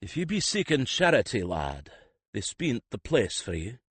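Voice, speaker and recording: A man's voice: medium pitch, wildly swooping pitch, moderate pace, fairly bright tone, breathy edge, no echo, voice pushed up, exaggerated voice. A man voicing a weary but kindly male priest of a poor temple, with rustic, old-fashioned speech.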